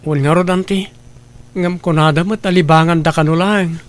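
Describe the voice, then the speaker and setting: A man's voice: fairly high pitch, fairly even intonation, moderate pace, lightly acted. A man playing a respectful, hesitant younger brother in a radio drama. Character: hesitant, dutiful unmarried younger brother, easily persuaded. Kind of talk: Ilocano radio drama acting.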